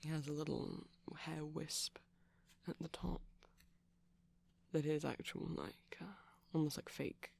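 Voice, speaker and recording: Give a hushed, creaky male voice for a shy, gentle vampire boyfriend played by a man. He rambles tenderly and hesitantly in ASMR style, often dropping to a whisper. The tone is breathy and a little husky. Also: fairly high pitch, steady tone, a little slow. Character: shy, gentle pastel vampire boyfriend showing off plush toys. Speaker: ASMR roleplay performer.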